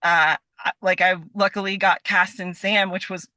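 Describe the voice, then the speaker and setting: A woman's voice: mid-range voice, little pitch movement, moderate pace, sharp tone, dry recording. A woman being interviewed as a guest, chatting casually in a scattered, enthusiastic way and peppering her sentences with "like". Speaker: guest, voice actor.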